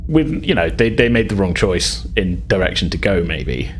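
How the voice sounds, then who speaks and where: A man's voice: low-pitched, sing-song, normal speed, faint music behind. A man running a tabletop roleplaying game, narrating and explaining events in a hesitant, conversational way. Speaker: game master.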